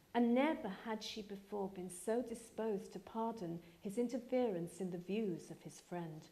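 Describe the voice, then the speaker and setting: A woman giving a live dramatic reading of literary narration, measured and expressive. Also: a little high. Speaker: actress reading a novel aloud.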